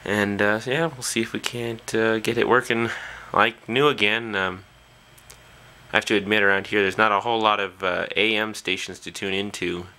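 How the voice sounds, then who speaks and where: Low-pitched, gravelly casual man. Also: fairly even intonation, normal speed, pushed and bright. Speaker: hobbyist video presenter. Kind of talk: casual, off-the-cuff workbench commentary. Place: small indoor workshop at a bench.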